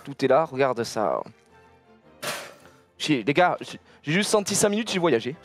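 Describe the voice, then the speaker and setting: A man's voice: fairly high pitch, very animated, moderate pace, soft background music. A man in his thirties from southern France, teasing and laughing as a guest while cooking in a studio kitchen. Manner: playful, teasing, laughs often. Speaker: guest cook. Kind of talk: joking cooking chat.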